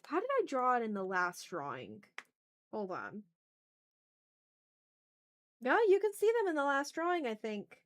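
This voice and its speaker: A woman's voice: fairly high pitch, wildly swooping pitch, a little slow, pauses often. A woman hosting an art livestream, chatting casually and muttering to herself in an informal, rambling way as she works. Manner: informal, mutters to self, mild swearing. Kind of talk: casual rambling chat while drawing.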